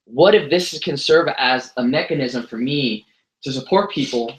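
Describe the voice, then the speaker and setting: A man's voice: mid-range voice, moderate pace. A man, giving a motivational talk full of self-deprecating jokes. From United States. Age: 20s. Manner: joking, self-deprecating storytelling. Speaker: motivational speaker. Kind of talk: motivational speech to an audience. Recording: echoey room sound.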